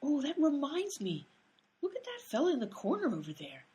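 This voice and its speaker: A woman's voice: high voice, huge pitch swings, normal speed, warm tone. A woman playing a ditzy, literal-minded hospital nurse with a naive manner in an absurd radio comedy sketch. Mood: ditzy, oblivious. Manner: ditzy, naive, swooping delivery.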